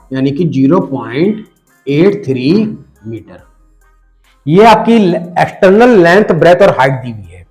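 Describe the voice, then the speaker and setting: A man with a hoarse voice from a cold, patiently explaining a math lesson step by step and talking straight to the viewer. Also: fairly high pitch, darkish tone. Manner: patient, addresses the viewer directly, repeats numbers slowly. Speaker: math teacher.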